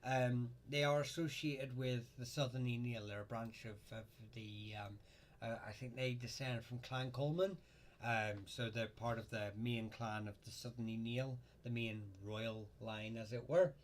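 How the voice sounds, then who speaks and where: Male host, live chat, middle-pitched and halting, faint hiss behind. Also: steady tone. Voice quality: halting, lots of ums and uhs. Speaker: host answering viewer questions.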